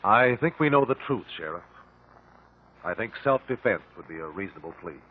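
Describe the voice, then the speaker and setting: An actor playing a calm, precise American investigator in a radio drama, speaking formally and in measured phrases. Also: male voice, lowish voice. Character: calm, precise male investigator piecing out truth. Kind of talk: 1950s radio drama acting. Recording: muffled 1950 radio recording.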